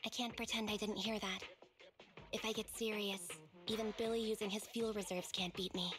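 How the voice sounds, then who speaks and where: An actress as a stoic young female soldier in an anime game. She is calm, literal and deadpan, with clear music behind her. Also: medium pitch, normal speed, close to an everyday voice. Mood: matter-of-fact.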